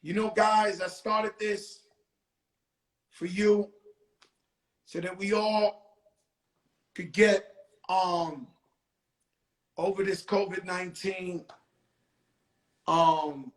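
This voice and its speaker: A man's voice: high-pitched, fairly even intonation, extremely slow, long pauses, roomy sound. A man with a New York accent hosting a live stream, talking casually in street slang with repeated exclamations.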